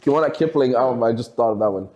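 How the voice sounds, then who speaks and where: A man's voice: mid-range voice, lively intonation, fast, mellow, highs cut off. A man of Trinidadian background, a podcast guest, telling stories in a laid-back way. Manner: laid-back storytelling, laughs easily. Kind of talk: casual podcast chat.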